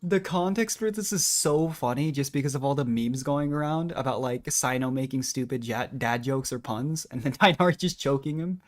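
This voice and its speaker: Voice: mid-range voice, normal speed, hardly any pauses. Casual, amused game streamer. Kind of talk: casual live reaction commentary on a video game.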